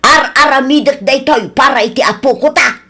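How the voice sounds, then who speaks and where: A doting old Filipino grandmother in a radio comedy drama, acted out by a woman. The voice sits fairly high. The delivery is stubborn and emphatic, grumbling on behalf of family. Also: brisk, pushed and bright, exaggerated voice. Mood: stubborn, complaining.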